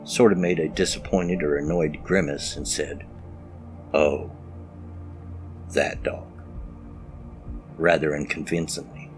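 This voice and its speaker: A man with an extremely deep voice reads scary stories in the first person. He works as a storyteller, with music playing clearly behind him. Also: level tone, takes it slowly, pauses often. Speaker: story narrator.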